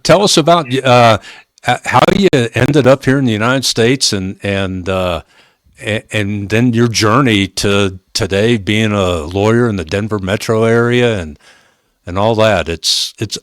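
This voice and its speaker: A man with a fairly low voice hosts a podcast interview. He talks informally and haltingly, and the sound is dry and close, with little room in it. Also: a bit bright, no room sound. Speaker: podcast host. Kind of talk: conversational interview.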